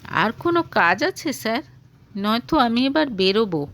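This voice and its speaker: Woman acting earnest young assistant, high-pitched. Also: big pitch swings, moderate pace, fairly bright tone. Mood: polite. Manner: pleading, indignant. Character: earnest young female assistant, wronged but polite.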